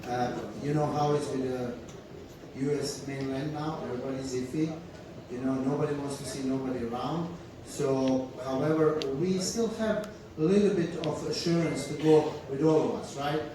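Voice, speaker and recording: A man's voice: medium pitch, steady tone, normal speed. Man joking in echoey hall. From Croatia. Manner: joking, chatty, often adds "right?". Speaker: ship's captain. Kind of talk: speech to crew on stage. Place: large theater hall on a cruise ship. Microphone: handheld, filmed from far in the audience. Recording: echoey hall from far off, noisy.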